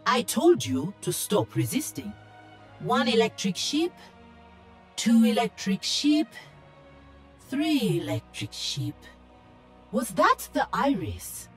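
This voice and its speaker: Slow, swooping robot guardian. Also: female voice, a little high, big pitch swings, faint music behind. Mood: polite, innocent. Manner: precise, deliberate, formal. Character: earnest female guardian robot, naive, politely stern. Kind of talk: video game voice lines.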